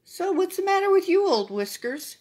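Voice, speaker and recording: A woman's voice: very high voice, big pitch swings, normal speed, darkish tone, voice pushed up. A woman voicing a friendly, confident male donkey in a storybook, with an encouraging, persuasive manner. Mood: curious.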